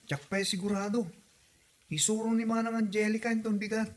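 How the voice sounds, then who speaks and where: A woman's voice: medium pitch, steady tone, fairly quick, close to an everyday voice. Dutiful young Ilocano daughter, played by a woman. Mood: eager, defensive. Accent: Ilocano Filipino. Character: dutiful young adult daughter seeking work. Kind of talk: Ilocano comedy audio drama acting.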